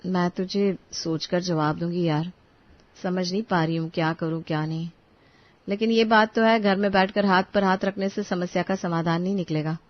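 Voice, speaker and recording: A woman voicing a worried middle-class mother in an animated story, talking in an anxious, fretful way. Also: fairly low pitch, moderate pace, dry recording, lightly acted. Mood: pensive. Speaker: voice actor. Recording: dull, dry studio recording.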